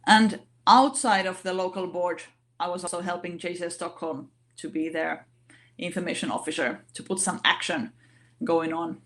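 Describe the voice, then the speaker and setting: A woman speaking English as a non-native language, giving an online session talk in a halting way with many fillers and restarts. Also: fairly low pitch, fairly even intonation, a bit bright. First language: not English. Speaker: organization vice president giving a talk.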